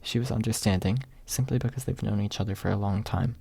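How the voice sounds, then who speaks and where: Husky, creaky man reading softly. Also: lowish voice, fast talker, dry recording. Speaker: audiobook narrator. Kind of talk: ASMR audiobook reading. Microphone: close microphone, dry.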